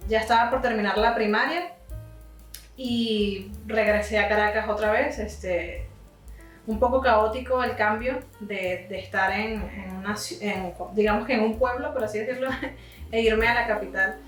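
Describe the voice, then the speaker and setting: A Venezuelan woman in her thirties, a music teacher telling her story in an unhurried way, with frequent "este" fillers. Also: fairly high pitch, fairly even intonation. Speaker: guest, violist and music teacher. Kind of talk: relaxed conversational storytelling. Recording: roomy echo, distant mic, faint music.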